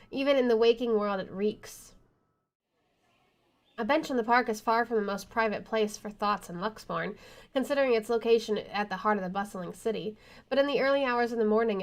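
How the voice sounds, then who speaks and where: A woman reading a horror visual novel aloud as she plays, in dramatic first-person narration. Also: mid-range voice. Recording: faint game music behind the voice. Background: faint game music.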